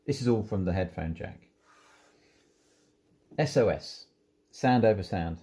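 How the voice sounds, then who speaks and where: Guy doing a relaxed gear demo, mellow low voice, takes it step by step. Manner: unhurried, step-by-step explaining. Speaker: presenter demonstrating audio equipment. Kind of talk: informal hands-on product walkthrough.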